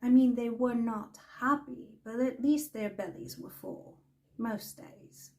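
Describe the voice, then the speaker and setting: A woman playing an imperious, mischievous older magical storyteller, telling fantasy tales in a theatrical, haughty way with deliberate pauses. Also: a little high, a little mellow. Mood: wistful, storytelling. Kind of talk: in-character fantasy storytelling roleplay.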